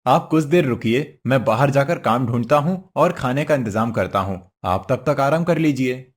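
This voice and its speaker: A man's voice: medium pitch, lively intonation, normal speed, natural voice. A man voicing a poor, simple woodcutter husband in a cartoon story, earnest, meek and fretful. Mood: kindly, earnest. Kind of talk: Hindi cartoon story voice acting.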